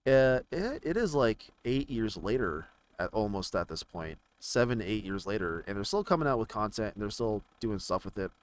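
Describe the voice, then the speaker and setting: A man giving casual, rambling commentary on video games, haltingly thinking out loud as he browses. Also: on the low side, sing-song. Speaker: gaming video creator.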